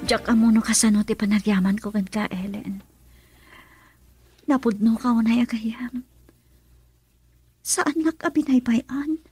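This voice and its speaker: High, husky, wounded Filipino heroine. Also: female voice, steady tone, a little slow, long pauses, mellow, faint music behind. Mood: tender, grateful. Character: wounded young woman, earnest, tearful and remorseful. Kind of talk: Filipino radio drama acting.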